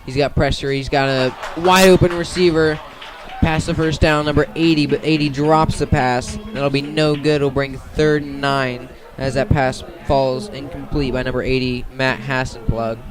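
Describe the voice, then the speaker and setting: Even-pitched man calling a football game. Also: moderate pace, hardly any pauses. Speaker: play-by-play football commentator. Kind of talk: live radio sports commentary.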